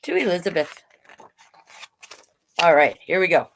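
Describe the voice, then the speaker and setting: A woman's voice: lowish voice, sing-song, measured pace, gravelly, slight background noise, rough recording. A woman hosting a casual livestreamed storytime, reading aloud and chatting with informal, rambling asides. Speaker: drag king storytime host and reader. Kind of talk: casual livestream chat and picture-book reading.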